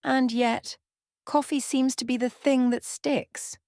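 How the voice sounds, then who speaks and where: A woman's voice: high voice, fairly even intonation, takes it slowly, darkish tone, no room sound, pitched above the performer's own voice. Woman playing a sly ancient vampire woman, close and dry. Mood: teasing. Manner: teasing, mischievous. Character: ancient female vampire, sly, playful, teasing.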